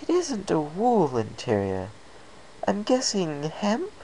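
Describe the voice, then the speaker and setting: A woman puts on a ladylike cartoon pony dressmaker, raised well above her own pitch. The delivery is genteel and theatrical, with lingering, swooping words and faint background noise. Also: wildly swooping pitch, slow pace, mellow, full character voice, rough recording. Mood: appraising, coy. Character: refined, ladylike, fussy female pony dressmaker.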